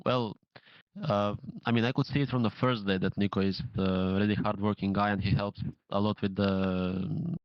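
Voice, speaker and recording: A man answering interview questions as a team's in-game leader, measured and pausing to find his words. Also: fairly low pitch, steady tone, smooth, no room sound. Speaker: professional gamer, in-game leader. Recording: dull lo-fi sound.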